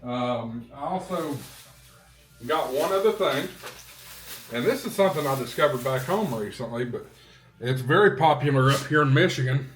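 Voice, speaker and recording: A man talking casually to viewers as a vlog host, in an unhurried, halting way with short phrases. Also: medium pitch, lively intonation, soft background music, poor audio. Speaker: vlog host, ham radio hobbyist.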